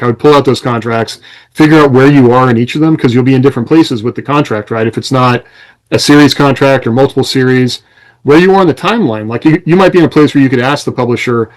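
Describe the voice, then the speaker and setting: A man's voice: medium pitch, low-quality recording. An American man talking casually as a podcast host, explaining things conversationally with examples and quoted lines.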